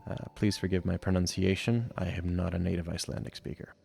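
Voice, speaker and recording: A man giving scripted educational narration in a slow, measured and deliberate reading. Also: sits low, fairly even intonation, gravelly, darkish tone, slightly rough. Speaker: video narrator. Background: faint background music.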